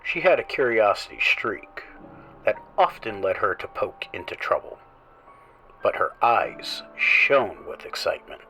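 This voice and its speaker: Man, horror narrator, unhurried delivery, low bright voice, theatrical hushed whispers, soft music underneath. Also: sing-song, low-quality recording. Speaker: horror show host and narrator. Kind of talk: scripted horror story narration.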